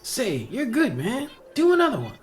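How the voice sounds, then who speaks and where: A man reading a laid-back but excitable fifties bandleader at a film script table read. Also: extremely high pitch, moderate pace, over music, voice pushed down, heavily acted. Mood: coaxing.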